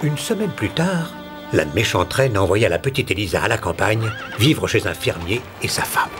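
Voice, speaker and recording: A storybook narrator, tells a cartoon fairy tale expressively. The voice sits fairly low and rises and falls a great deal, with music playing behind it. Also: male voice, very animated, moderate pace. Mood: storytelling.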